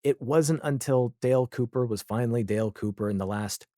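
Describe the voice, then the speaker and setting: A man's voice: medium pitch, a little fast, mellow, no echo, studio quality. A man talking casually as a podcast guest, retelling things in an opinionated way with emphatic asides and mimicked quotes. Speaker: podcast guest, audio drama creator.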